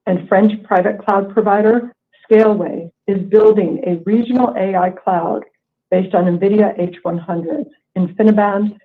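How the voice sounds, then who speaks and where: Over a narrow, tinny phone line, a woman presents a corporate earnings report. She reads prepared remarks in a formal, measured way, as a company executive on a conference call. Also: medium pitch.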